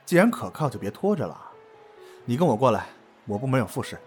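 A man's voice: mid-range voice, sing-song, a little fast, darkish tone, soft background music, natural voice. An actor playing a cool, exacting male office supervisor in an audio drama, curt and authoritative. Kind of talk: Chinese audio drama acting.

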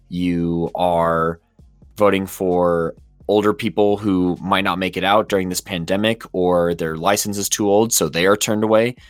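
A hoarse man with a very deep voice co-hosts a podcast. He speaks with passion and tries to persuade his listeners. The recording is dry, with faint music underneath. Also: no room sound. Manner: impassioned, persuasive. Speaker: podcast co-host. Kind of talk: casual opinion talk.

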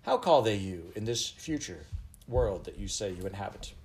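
A man's voice: on the low side, sing-song, moderate pace, darkish tone, a little hiss or hum. Man putting on an imperious, swooping Elizabethan queen. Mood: pleased, self-satisfied. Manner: grand, archaic, commanding speech. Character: haughty, imperious, vain Elizabethan queen, middle-aged woman.

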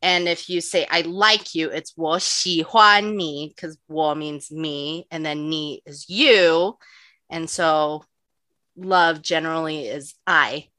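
A woman's voice: deep voice, steady tone, slow pace, bright, forceful tone, no echo. A woman co-hosting a podcast, chatting casually about nutrition with lots of "like" and "you know" and frequent laughter. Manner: laughs often. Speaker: podcast co-host.

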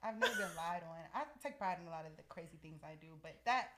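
A woman in her twenties, a podcast guest telling a story in an animated, laughing way. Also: lowish voice, quick pace. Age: 20s. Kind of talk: casual storytelling.

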